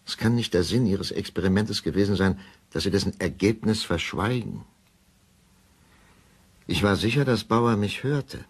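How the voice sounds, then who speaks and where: An actor playing a grave, obsessive doctor confessing in a brooding, deliberate radio drama monologue. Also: male voice, on the low side, expressive, slightly rough.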